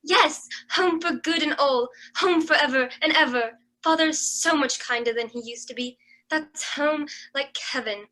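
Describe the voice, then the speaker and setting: A woman's voice: high-pitched, moderate pace. A woman playing an eager, affectionate young girl in a radio play, gushing and earnest. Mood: joyful, eager. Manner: gushing, earnest, repeating words excitedly.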